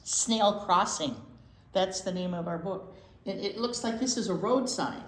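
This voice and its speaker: A woman reading a picture book aloud to young children as a storytime teacher, expressive and often putting questions to them, in a roomy echoing space. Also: medium pitch, measured pace. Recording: roomy, echoing indoor sound.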